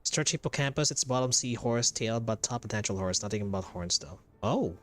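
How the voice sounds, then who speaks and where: A man's voice: lowish voice, animated, a little fast, fairly bright tone. A man giving casual game playthrough commentary as a streamer, rambling as he thinks aloud. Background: game music.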